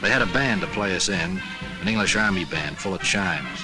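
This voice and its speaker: An American man with a lowish voice reminisces as a soldier, folksy and wry. It is a conversational voice-over on a 1940s film soundtrack with music behind. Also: moderate pace. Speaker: soldier narrating war experience. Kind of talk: colloquial 1940s documentary voice-over.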